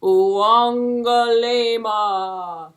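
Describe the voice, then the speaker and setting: Lady doing a slow determined tortoise for a puppet show, reaaally drawn out, steady n patient. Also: on the high side. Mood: persistent, deliberate. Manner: slow drawn-out deliberate speech.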